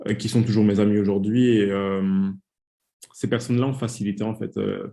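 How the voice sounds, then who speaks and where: A man's voice: fairly low pitch, flat delivery, a little fast, vocal fry, dark tone. A man in his twenties from Congo, a podcast guest telling his story in a hesitant, casual way with many fillers. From Democratic Republic of the Congo. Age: 20s. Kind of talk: casual interview talk.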